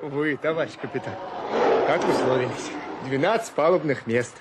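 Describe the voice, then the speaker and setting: An actor playing a brisk, slippery cargo official in a radio play, glib and officious. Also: male voice, medium pitch, close to an everyday voice. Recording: noisy radio play, outdoor ambience.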